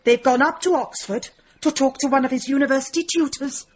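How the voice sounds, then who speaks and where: Actress as high, fluttery village gossip. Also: female voice, fairly quick, lo-fi sound, close to an everyday voice. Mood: hesitant, confiding. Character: solicitous, gossipy village woman. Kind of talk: radio drama acting.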